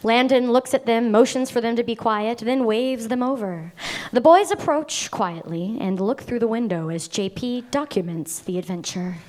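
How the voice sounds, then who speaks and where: A woman reading script stage directions aloud at a live table read in a deliberate, measured way. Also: on the high side, darkish tone.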